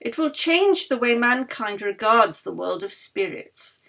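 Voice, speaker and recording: A woman's voice: a little high, sing-song, a little slow, vocal fry, fairly bright tone, full character voice. A woman voicing a fervent, grandiose male baron who believes in spirits, in an audiobook. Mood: solemn, visionary. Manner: impassioned, grandiose, persuasive. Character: earnest, fervent Swedish baron devoted to spiritualism. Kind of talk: audiobook character dialogue.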